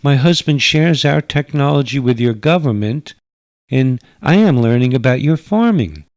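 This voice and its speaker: A man plays an alien mother in a sci-fi radio play, recorded close and dry. She speaks in formal, careful phrases, earnest and stern, sometimes halting for a word. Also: mid-range voice, expressive, dry recording, voice pushed up, lightly acted. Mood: earnest, pleading. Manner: formal, careful foreigner's wording, halting for words. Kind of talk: radio drama narration with character voices.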